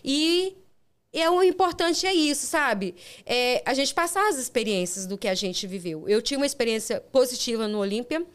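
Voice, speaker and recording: A woman's voice: fairly high pitch, normal speed, clean tone. A middle-aged Brazilian woman with a Brazilian Portuguese accent, hosting a podcast and telling a personal story earnestly, with frequent restarts. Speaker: podcast host. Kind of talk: casual interview chat.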